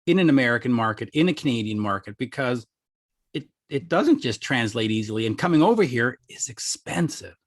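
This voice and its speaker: A man's voice: medium pitch, very animated, brisk. A middle-aged man interviewing a guest in a casual chat, stumbling and restarting as he asks questions. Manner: stammering restarts when asking questions. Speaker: interviewer, host.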